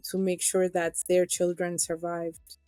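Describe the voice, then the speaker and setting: Interview guest: middle-aged woman from Ethiopia, low-ish voice, dry close sound, soft music under. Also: monotone, unhurried, dry recording. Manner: earnest, emotional, with fillers. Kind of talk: personal interview storytelling.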